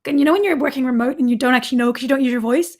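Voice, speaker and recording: A woman guest on a live stream, talking casually with a hoarse, worn voice, laughing often and using lots of ums. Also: sits high, rapid-fire, a little mellow. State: hoarse, recovering voice. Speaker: guest developer advocate.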